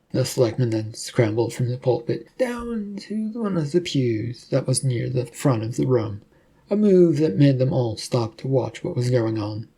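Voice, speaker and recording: A man with a slightly husky voice reading scripted story narration. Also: mid-range voice, dark tone.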